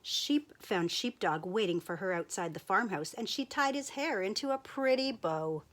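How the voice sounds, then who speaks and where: Expressive woman reading a children's book. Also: mid-range voice, normal speed. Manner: expressive, playful read-aloud voice. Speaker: storyteller teacher.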